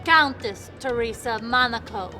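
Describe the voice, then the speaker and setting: Slow, flirtatious countess. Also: female voice, fairly high pitch, pushed and bright. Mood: coolly flirtatious. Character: flirtatious, poised countess at a high-stakes poker table. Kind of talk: scripted film-style acting. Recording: music under the voice.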